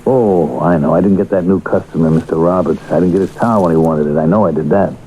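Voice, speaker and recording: A male actor plays an anxious, deferential ex-convict working as a handyman in an old radio drama. His voice is low and very mellow, earnest and defensive. The broadcast has a faint layer of noise. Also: fast talker, lightly acted, rough recording. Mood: meek, apologetic. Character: anxious, humble ex-convict handyman at health club. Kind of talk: radio drama acting with first-person narration.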